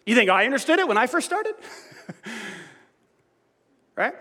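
A middle-aged man preaching a sermon as pastor, lively and self-mocking, often restarting his sentences. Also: very high voice, moderate pace, big-room sound.

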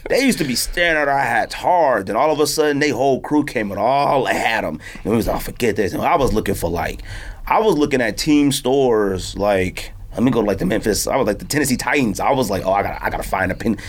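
An American man hosting a podcast in casual chat, telling stories in a slangy, animated way. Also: medium pitch, quick pace. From United States. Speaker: podcast host. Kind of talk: casual chat about sneakers and hats. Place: podcast studio.

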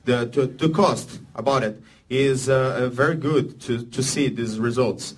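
A man from Brazil with a Brazilian Portuguese accent, a surgeon speaking halting non-native English to an audience. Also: mid-range voice, a little hiss or hum. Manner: halting non-native English, animated when acting out patients. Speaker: orthopedic surgeon speaking as guest. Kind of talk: unscripted talk to an audience.

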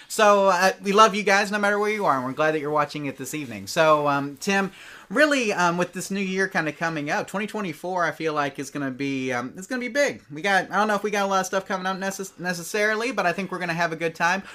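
This is a man hosting a live show with an upbeat, chatty manner. His voice is bright and forceful and sits a little high for a man. He reads viewer messages in a friendly, casual tone. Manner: upbeat, chatty, announcer-like opening. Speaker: podcast co-host and producer.

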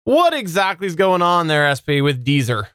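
A man's voice: fairly high pitch, big pitch swings, normal speed, a bit bright, smooth, no echo, studio quality. A man co-hosting a podcast, talking through news in a conversational style. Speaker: podcast co-host.

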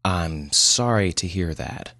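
Deep-voiced, measured male doctor, read by a man. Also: lively intonation, sharp tone, no room sound, full character voice. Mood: measured, patient.

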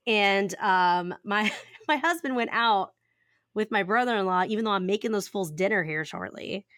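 A woman in her thirties co-hosting a podcast, raspy and a little hoarse from a cough, chatting casually and laughing often as she rambles through stories. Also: mid-range voice, moderate pace, a bit bright, dry recording, clean studio sound. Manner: giggly, rambling storyteller who laughs often. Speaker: podcast co-host. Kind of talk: casual chat.

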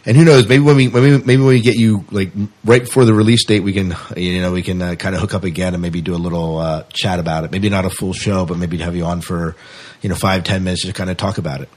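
Male podcast host, low-pitched and rough-edged, informal, loose conversational delivery with restarts. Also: fairly even intonation, fast talker, a little mellow. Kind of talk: casual interview chat.

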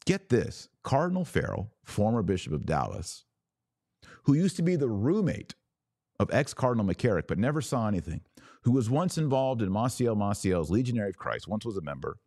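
A middle-aged man hosting a religious commentary podcast, speaking in deliberate, stressed phrases with pauses. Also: lowish voice, big pitch swings, darkish tone, no echo, clean studio sound. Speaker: podcast host. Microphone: close studio microphone.

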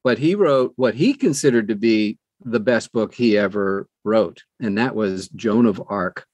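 Older man, measured talker, dry close sound. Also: lowish voice, darkish tone, no echo. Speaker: audiobook narrator. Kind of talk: conversational interview.

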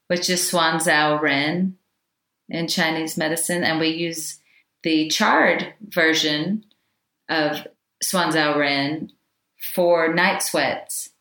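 A woman teaching Chinese medicine in a loud, chatty way, full of asides. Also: low-pitched. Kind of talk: informal teaching talk. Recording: echoey room.

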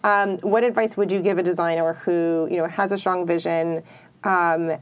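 A woman hosts an interview, putting curious, conversational questions to her guest. Her voice sits a little lower than most women's. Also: steady tone, moderate pace, smooth.

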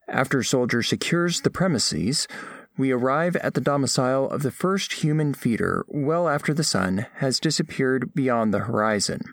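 A man's voice: medium pitch, dry recording, studio quality. A man playing a studious alien scholar who narrates a diplomatic report with formal, precise diction in an audio drama. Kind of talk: scripted first-person narration, audio fiction.

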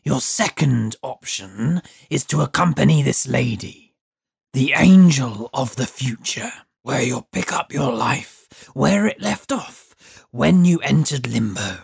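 A squat, toad-like demon from a storybook. The voice is a harsh, shredded rasp full of gravel. He speaks slowly and with contempt, swinging up and down as he sneers. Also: medium pitch, lively intonation, no echo, studio quality, exaggerated voice. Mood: sneering. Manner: slow, menacing, sneering delivery.

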